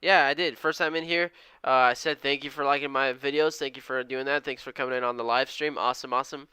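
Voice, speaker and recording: A man's voice: medium pitch, fairly even intonation, fast talker, sharp tone, clear, resonant tone, no room sound. A man in his twenties chatting casually on a live trading stream, reading out viewer comments and thanking people in an upbeat, slangy way. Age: 20s. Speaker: live-stream day trader.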